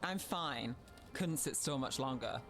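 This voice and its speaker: A woman's voice: extremely low pitch, animated, unhurried, full character voice. An actress playing a stubborn, cool female companion in a fantasy video game, terse and determined. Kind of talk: video game cutscene acting. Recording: game music behind.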